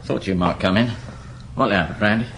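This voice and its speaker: An actor playing a laconic nightclub owner in a radio detective drama, casual and a little hesitant. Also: male voice, on the low side, normal speed, a bit bright. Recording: clear background noise and ambience.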